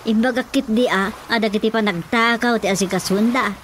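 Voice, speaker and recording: Woman acting a complaining Ilocano mother, highish voice. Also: steady tone, brisk, lightly acted. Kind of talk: Ilocano radio drama acting. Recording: radio drama with faint background noise.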